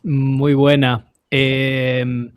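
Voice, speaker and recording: A man from Argentina with an Argentine accent, chatting as podcast co-host in a relaxed, reflective way. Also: medium pitch, very slow, dry recording. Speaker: podcast co-host, Spanish teacher. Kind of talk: casual chat.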